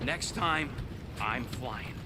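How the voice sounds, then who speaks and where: An actor voicing a small, irritable creature mercenary in a video game, blunt and forever complaining. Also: male voice, medium pitch, lively intonation, drawn-out words. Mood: grumbling. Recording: loud game ambience and engine noise.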